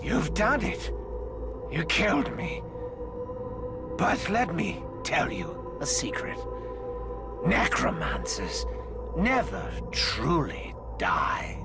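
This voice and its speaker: A gloating orc necromancer from a fantasy video game. The voice is high and creaky, with slow, incantatory phrasing that taunts like a fanatic. Loud cinematic music plays behind it. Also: male voice, wildly swooping pitch, heavily acted. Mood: sly, taunting. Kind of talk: video game villain acting.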